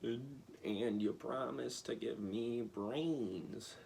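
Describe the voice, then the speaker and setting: A man's voice: mid-range voice, drawn-out words, vocal fry, darkish tone, slight background noise. A man voicing a plaintive living scarecrow from a storybook, aggrieved and wailing. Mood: earnest.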